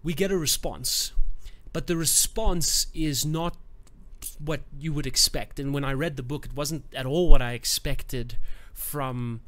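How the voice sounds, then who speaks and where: A man's voice: on the high side, measured pace, fairly bright tone. A man giving a casual book review to camera, thoughtful and searching for words, growing enthusiastic.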